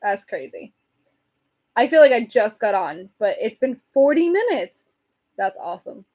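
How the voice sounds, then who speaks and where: A woman hosting a casual livestream, rambling and answering viewer comments, her voice getting hoarse from talking so much. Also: a little high, big pitch swings, measured pace, darkish tone. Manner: chatty, rambling, reads and answers comments, laughs often. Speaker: livestream host chatting with viewers.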